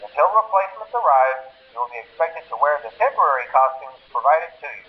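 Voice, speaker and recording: Nervous corporate phone trainer, video game character, higher-pitched male voice, polite deadpan instructions, telephone filter, faint background noise. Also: fairly quick, narrow, tinny audio. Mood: awkward, matter-of-fact. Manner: hesitant greetings, then polite deadpan procedural delivery. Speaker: employee training caller. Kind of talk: recorded workplace training message.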